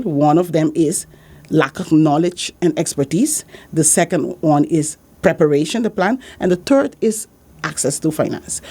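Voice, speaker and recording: A woman's voice: low voice, mellow. A woman with a Dutch accent, a business support consultant from the Caribbean, earnestly giving advice in an interview and repeating her key points. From Saint Martin, Caribbean. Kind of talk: interview answers.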